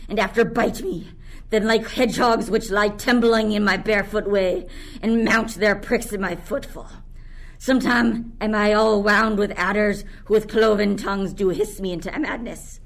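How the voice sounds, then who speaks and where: A woman's voice: medium pitch, creaky, lightly acted. A woman playing a resentful, fearful monster servant, declaiming Shakespearean verse in a radio play. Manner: declamatory archaic verse delivery.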